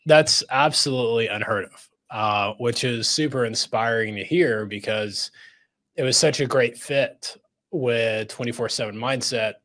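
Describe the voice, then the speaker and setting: A man's voice: lowish voice, a bit bright. A middle-aged man from Egypt hosting an interview show from his home studio, enthusiastic and fond of repeating words for emphasis. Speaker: host and coach. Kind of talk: casual interview over video call. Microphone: studio microphone on arm.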